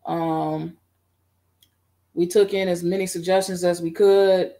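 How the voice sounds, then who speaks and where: A middle-aged woman on a video call, recounting her band history in a relaxed, rambling way with many fillers. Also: lowish voice, slow. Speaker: guest band musician. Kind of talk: casual interview. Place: home room.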